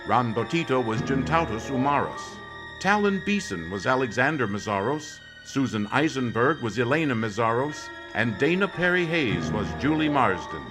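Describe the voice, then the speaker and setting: Guy announcer for a suspense radio show, dramatic and swoopy delivery, music behind him. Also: mid-range voice.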